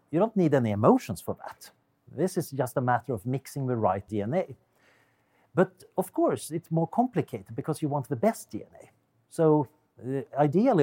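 A man's voice: mid-range voice, dark tone. A man with a Swedish accent gives an explanatory talk as a neuroscientist and ethicist, musing and wry, with frequent hesitations. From Sweden. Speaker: guest speaker, neuroscientist and ethicist.

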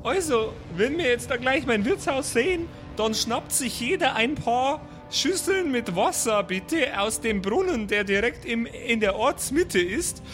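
A man's voice: very high-pitched, expressive, unhurried, hardly any pauses, a bit bright. A man playing in an improvised tabletop game, talking in character in a playful, colloquial way. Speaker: player. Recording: music behind the voice. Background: music.

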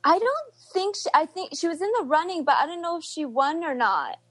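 A woman from Belgium speaks with a Belgian accent as a podcast guest. Her voice is high and lively. She gives brief answers and giggles often. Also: fairly quick. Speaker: guest listener. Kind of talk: casual interview.

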